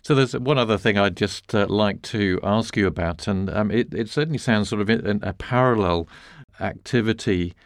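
A man with a deep voice asks interview questions in a conversational way. He is hesitant and tentative, often restarting his phrases, and the sound around his voice is very dry. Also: moderate pace, dry recording.

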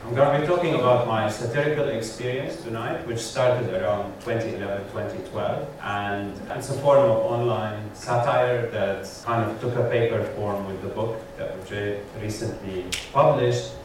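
A man with a Lebanese accent gives a humorous lecture, tossing wry asides to his listeners. He sounds distant from the mic in a strongly echoing hall, with a steady murmur of room noise behind him. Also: fairly low pitch, normal speed, few pauses. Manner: wry, joking asides to the audience. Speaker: guest speaker, satirist.

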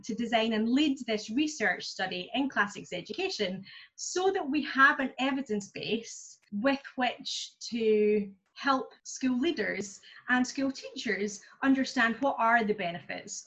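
Measured woman on dull lo-fi audio. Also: a little high, lively intonation, fairly bright tone. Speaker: research fellow. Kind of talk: explanatory podcast talk. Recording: dull lo-fi sound.

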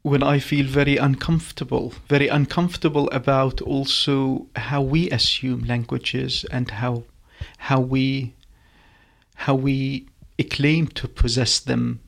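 Guy, poet, talks really slow and halting, gravelly, keeps repeating words. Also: medium pitch, flat delivery. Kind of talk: reflective interview answers.

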